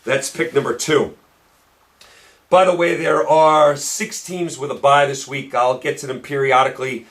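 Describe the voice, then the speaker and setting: A man hosting a solo sports picks show, hoarse and losing his voice, talking emphatically and repeating points for stress. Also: mid-range voice, steady tone, a little slow, a bit bright.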